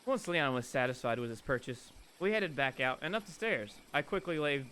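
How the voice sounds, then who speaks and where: A man's voice: medium pitch, normal speed, a little hiss or hum. A man reading a visual novel game aloud with playful drama and casual, sweary chat. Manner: animated, casual, profane.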